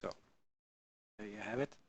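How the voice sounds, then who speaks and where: A man from Belgium chatting casually with his live-stream viewers on a headset mic while modelling, halting and full of fillers. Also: dry recording. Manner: halting, many fillers, answers chat viewers. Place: home in the Alpine region.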